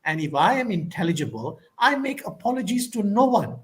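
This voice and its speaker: Higher-voiced Malaysian man with many fillers. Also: big pitch swings, brisk. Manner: thoughtful, many fillers and restarts. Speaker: conference speaker. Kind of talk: academic Q&A answer.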